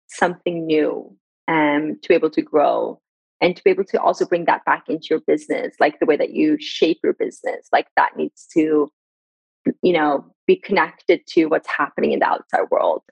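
A woman's voice: low voice, vocal fry, a little mellow, breathy edge, no room sound, low-quality recording. A woman whose first language is Farsi, a guest on a podcast, speaking in an earnest, reflective way and often repeating her key points. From Sweden. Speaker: guest, brand director.

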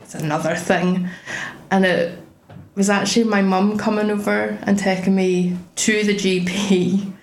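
A woman's voice: medium pitch, fairly even intonation, unhurried. A young woman, a podcast guest telling her story in a wry, chatty way. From Scotland. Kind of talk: casual chat. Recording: echoey room with faint background noise.

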